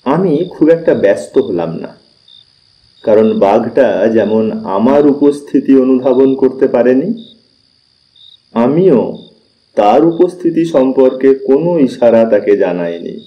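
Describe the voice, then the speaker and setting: A man narrating a scripted suspense story in a measured, deliberate storytelling manner, with pauses. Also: mid-range voice, animated, dull audio. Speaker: audiobook narrator.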